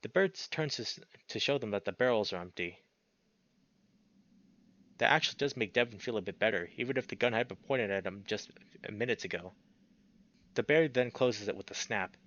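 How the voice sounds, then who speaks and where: A man's voice: fairly low pitch, a little fast, long pauses, a bit bright, muffled recording. A man reading a visual novel aloud as a streamer, mixing narration with casual, sweary commentary.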